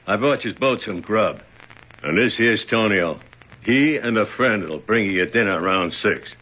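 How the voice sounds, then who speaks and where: A sneering male gangster henchman mocks the prisoners in tough-guy slang. His voice is husky and sharp-edged, and pitched a little low. It sounds muffled, like an old radio broadcast. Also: lively intonation, normal speed. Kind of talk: 1970s radio drama acting.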